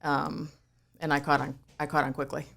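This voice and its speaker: Low, gravelly Croatian-born woman. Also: flat delivery, moderate pace, a bit bright. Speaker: guest, yoga studio owner. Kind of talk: relaxed interview conversation.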